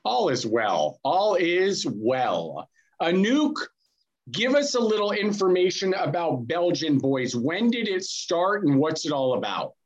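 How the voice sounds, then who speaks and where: A man's voice: fairly high pitch, sing-song, measured pace, highs cut off. A man hosting a podcast interview, asking questions in a rambling, joking way with lots of fillers. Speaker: podcast host.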